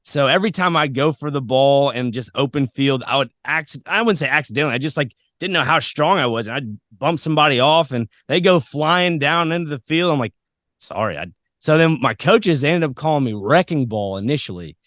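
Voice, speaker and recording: A man's voice: a little high, animated, a little fast, a bit bright, no echo, studio quality. A man hosting a podcast in a casual, informal, anecdotal chat style. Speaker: podcast host.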